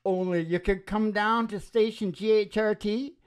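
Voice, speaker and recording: A man's voice: high-pitched, fairly even intonation, normal speed, clean tone. An older man hosting a radio music show, speaking into a stand microphone in joking, rambling banter with a wry manner. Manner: wry, jokey, chuckles often. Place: small dim indoor room with wood-panelled wall. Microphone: microphone on a stand, close.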